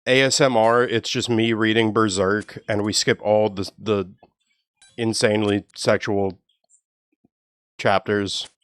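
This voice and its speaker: A young man with a low, even voice, chatting casually and swearing freely with his live stream chat. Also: slow, long pauses, clear, resonant tone, no room sound. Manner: laid-back, profane, joking with chat.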